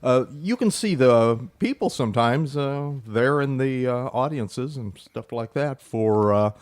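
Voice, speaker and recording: Male actor guest, seventies, medium pitch, agreeable and halting explainer in casual conversation. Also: lively intonation, no room sound. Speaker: local actor, podcast guest. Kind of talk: casual explanatory interview talk. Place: indoors at a small theatre.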